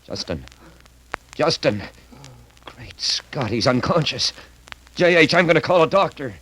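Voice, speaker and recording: An actor playing a concerned, loyal friend in 1930s radio melodrama, with an urgent, anxious manner. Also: male voice, fairly high pitch, very animated, measured pace, fairly bright tone. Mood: alarmed. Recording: noisy, crackly 1930s radio recording.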